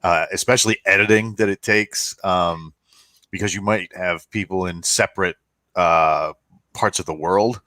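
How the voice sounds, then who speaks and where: An American man co-hosting a podcast, explaining things casually in a halting way. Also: sits low, steady tone, pushed and bright, dry recording. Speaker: podcast co-host.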